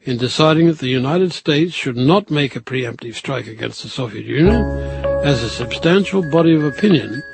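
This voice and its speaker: A man with a husky voice giving thoughtful, deliberate historical commentary in an emphatic, explanatory way. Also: mid-range voice, animated, over loud music.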